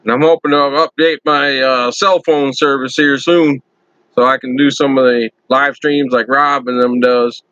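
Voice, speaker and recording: Loud, chatty man live streaming. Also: medium pitch, flat delivery, moderate pace, dry recording, lo-fi sound. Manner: loud friendly talker. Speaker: YouTube channel host. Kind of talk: casual live stream chat. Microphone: computer.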